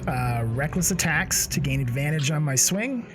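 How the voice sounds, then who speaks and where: A man's voice: fairly high pitch, expressive, moderate pace. A man describing his character's actions as a player in a tabletop game. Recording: faint music, online voice call.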